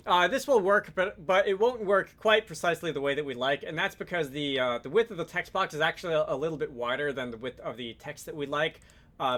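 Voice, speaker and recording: A man presenting a software tutorial in a conversational, explanatory way, with casual asides. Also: fairly high pitch. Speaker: tutorial host, game programming instructor.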